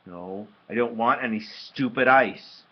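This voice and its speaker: A man reading a storybook aloud, voicing an angry, sullen young boy in a loud, emphatic, petulant way. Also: mid-range voice, measured pace, dull audio, close to an everyday voice. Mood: sarcastic, irritated.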